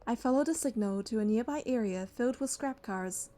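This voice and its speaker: An actress playing a devoted, protective young bodyguard heroine in a romance game, telling her story in an earnest, measured way. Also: fairly high pitch, mellow, lightly acted. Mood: focused, narrating. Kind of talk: romance game narration and dialogue.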